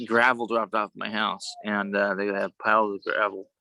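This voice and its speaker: A man chatting casually on a video call, with a worn, sometimes gravelly voice and a halting, rambling way of talking. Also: lowish voice, flat delivery, pushed and bright, no echo. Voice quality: gravelly at times. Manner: rambling, halting, many restarts. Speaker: show co-host.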